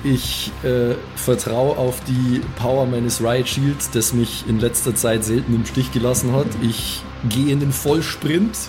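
A man's voice: mid-range voice, fairly even intonation, a little slow, hardly any pauses, over music, rough recording. A man casually improvising his moves as a tabletop player, thinking aloud with some hesitation. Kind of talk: casual improvised game talk.

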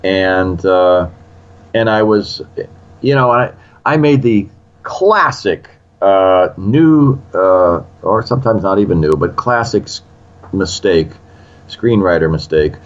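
A man's voice: low voice, takes it slowly, dull audio. A man in his sixties, a screenwriter in an interview, telling a story animatedly and acting out quotes. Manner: animated, acts out quotes in other voices. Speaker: screenwriter guest.

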